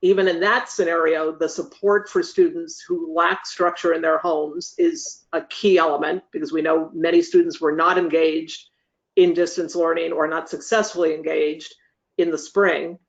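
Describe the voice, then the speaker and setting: A meeting facilitator giving careful, measured explanations and answers, choosing words hesitantly in an online meeting. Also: mid-range voice, level tone. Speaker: meeting facilitator answering board chairs' questions. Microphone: video call. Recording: dull lo-fi video call audio.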